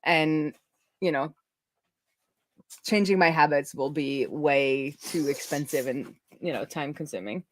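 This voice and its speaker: Brief: middle-aged Norwegian woman, native Norwegian speaker, casual stream host, lowish voice, dry close sound. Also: measured pace, dry recording. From Norway. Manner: chatty, thinks aloud with fillers and restarts. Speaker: streamer unboxing a laptop. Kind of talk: casual livestream chat.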